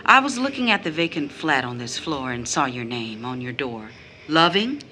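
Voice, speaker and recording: A woman's voice: extremely low pitch, very animated, normal speed, sharp tone, slight background noise, full character voice. An actress playing a tired, numb, poor mother, wary and protective, with blunt questioning, in an audio drama. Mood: weary, guarded. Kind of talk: scripted audio drama acting.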